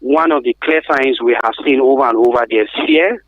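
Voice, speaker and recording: A man's voice: mid-range voice, normal speed, no echo. Nigerian man on a thin phone-like line. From Africa. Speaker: guest expert on survivors of trafficking. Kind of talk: radio interview, explanatory.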